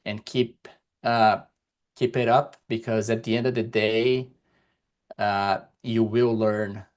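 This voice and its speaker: A man from Brazil, a native Portuguese speaker with a Brazilian accent, speaking as a podcast guest over a video call in a deliberate, encouraging way with many fillers. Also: fairly low pitch, flat delivery. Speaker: guest, security executive. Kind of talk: podcast interview. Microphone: remote video call.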